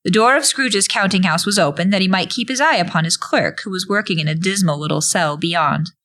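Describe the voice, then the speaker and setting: A woman's voice: on the low side, brisk, fairly bright tone. A woman reading scripted narration as the storyteller of an audio drama.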